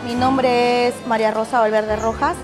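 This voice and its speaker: A woman's voice: on the high side, flat delivery, normal speed, music in the background. A woman in her forties from Peru who runs a restaurant, speaking plainly and sincerely in a documentary interview. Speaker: restaurant owner. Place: restaurant counter.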